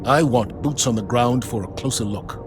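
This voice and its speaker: Grave, measured military leader played by a man, mid-pitched. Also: close to an everyday voice. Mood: firm, briefing. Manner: serious, measured authority. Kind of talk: dramatic game dialogue. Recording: music behind the voice.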